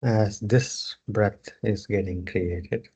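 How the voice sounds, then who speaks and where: Slow, deep-voiced man guiding meditation. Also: fairly even intonation, a little mellow, slightly rough. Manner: unhurried, with long pauses between short phrases. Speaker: yoga nidra meditation teacher. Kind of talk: guided meditation instruction.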